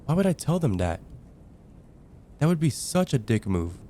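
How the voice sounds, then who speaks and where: A young man playing a casual heartbreaker friend confiding about dating in a conversational, hesitant ASMR roleplay, with sighs and trailing pauses. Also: mid-range voice, big pitch swings, warm tone, a little hiss or hum, natural voice. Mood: let down. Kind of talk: ASMR roleplay, acted one-sided conversation.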